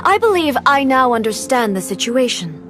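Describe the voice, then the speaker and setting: A woman voicing a cold, imperious almighty ruler in a video game, speaking in formal, measured phrases. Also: high-pitched, big pitch swings, music in the background. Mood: composed. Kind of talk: dramatic video game voice acting.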